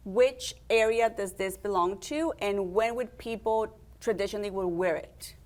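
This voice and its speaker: A woman's voice: medium pitch, normal speed, a little mellow. Upbeat woman hosting a TV interview. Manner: friendly, upbeat, guides the guests with questions. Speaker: host and program organizer. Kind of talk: community TV interview.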